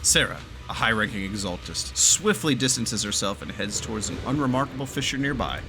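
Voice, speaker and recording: Excitable young man reacting to a game. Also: on the low side, fairly quick, music in the background. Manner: excitable, chatty, swearing. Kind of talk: casual reaction commentary.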